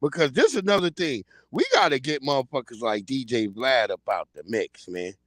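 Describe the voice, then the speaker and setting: A man in his forties talks straight into a streaming mic with an average pitch, and the sound is close and dry. His delivery is animated, blunt and full of slang, and he keeps pushing his point. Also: brisk, no room sound. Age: 40s. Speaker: livestream host. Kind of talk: casual commentary livestream.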